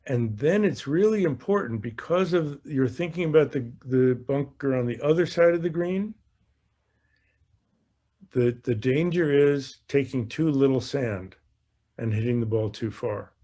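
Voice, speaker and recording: A man's voice: medium pitch, expressive, a little slow, dark tone. A man working as a golf coach, explaining things conversationally in a live question session, often repeating phrases as he goes. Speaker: golf coach hosting an online Q&A. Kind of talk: conversational instruction.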